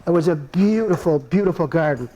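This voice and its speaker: A man telling a folk tale at a live puppet performance, playful and animated, with chuckling asides. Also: fairly high pitch, brisk, highs cut off. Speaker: storyteller in a puppet show. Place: live performance before an audience.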